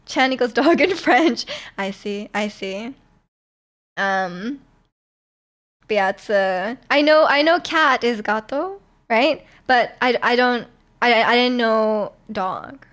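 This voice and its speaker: Giggly high-voiced young woman streamer. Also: animated, slow pace, a bit bright. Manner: giggly, chatty, reading and answering chat. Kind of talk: casual live-stream chat.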